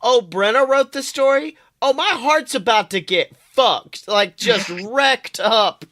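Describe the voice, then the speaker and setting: A man co-hosting a podcast in casual comedic chat, swearing freely with animated exclamations. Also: very high-pitched, slow. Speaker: podcast co-host.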